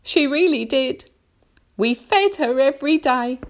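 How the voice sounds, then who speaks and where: A woman voicing an anxious, melodramatic female spider from a storybook, mournful and drawn-out in her delivery. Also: high voice, lively intonation, pitched above the performer's own voice. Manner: dramatic, drawn-out, lamenting delivery.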